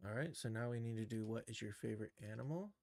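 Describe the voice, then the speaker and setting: A man's voice: on the low side, fast, creaky, a little mellow, dry recording. A man casually narrating his own steps in an on-screen tutorial, thinking aloud in short muttered remarks. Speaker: game developer streaming a tutorial.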